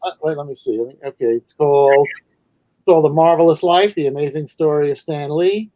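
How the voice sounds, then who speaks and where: A man from Manhattan with a New York accent, a guest author talking over a video call in a thoughtful, halting way. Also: on the high side, warm tone, no echo, highs cut off. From New York City. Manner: thoughtful, hesitant, many restarts. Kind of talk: casual video call interview.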